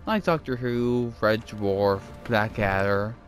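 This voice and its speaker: A man's voice: lowish voice, slow. Guy with a bit of a british accent rambling through a let's play, music faint underneath. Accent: mild British-style accent picked up from TV. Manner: rambling, self-conscious, chatty. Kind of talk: casual gameplay commentary and reading aloud. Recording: faint game music behind.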